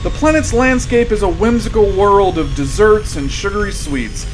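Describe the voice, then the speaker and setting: A man's voice: sits high, animated, normal speed, slight background noise, poor audio. A man narrating a scripted sci-fi comedy in a theatrical, wry manner.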